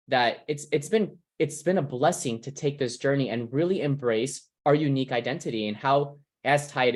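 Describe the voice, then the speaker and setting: A young man from California sums up a presentation at a middle pitch. He is earnest and reflective and restarts his sentences now and then. The sound comes over a video call.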